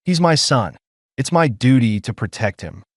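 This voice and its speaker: A weary alien mother, acted out by a male narrator, speaks in measured, formal phrases. She is proud, gentle and firm, in a dry, close audiobook sound with lively pitch movement. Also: no echo, close to an everyday voice. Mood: quiet pride. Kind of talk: audiobook character dialogue.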